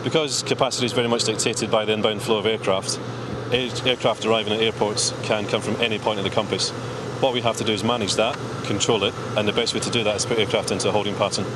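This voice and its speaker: A man's voice: on the low side, steady tone, fast. A man giving plain, matter-of-fact explanations in interview answers as an air traffic control representative. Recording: prominent music under the voice.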